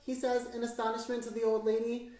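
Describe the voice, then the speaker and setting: A woman reading a children's story aloud in an expressive, measured, theatrical way. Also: on the high side. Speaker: storybook narrator. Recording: very echoey room, distant mic, music. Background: piano music.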